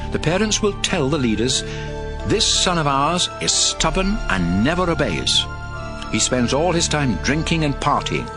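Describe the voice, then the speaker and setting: A man reading scripture as an audio Bible narrator, in a measured, solemn, instructive way. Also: medium pitch. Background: music under the voice.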